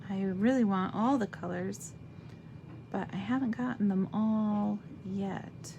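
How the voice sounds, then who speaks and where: A woman with a slightly raspy voice giving a chatty live craft demonstration, thinking aloud as she stamps and colors. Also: medium pitch, dark tone, a little hiss or hum. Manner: slow, chatty, thinks aloud while working.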